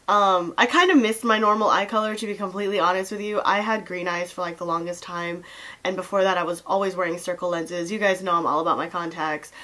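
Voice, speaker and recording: A woman's voice: medium pitch, a bit bright. Stuffy-nosed young woman chatting makeup. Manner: chatty, slangy, full of fillers and asides. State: stuffy nose from a cold. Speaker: beauty vlogger and makeup artist. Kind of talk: casual chatty makeup tutorial.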